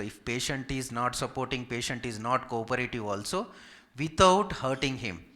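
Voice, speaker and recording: Man, Telugu Indian accent, bright forceful voice, mid pitch, polite physiotherapist, informal medical presentation, repetitive emphatic lists. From Hyderabad, India.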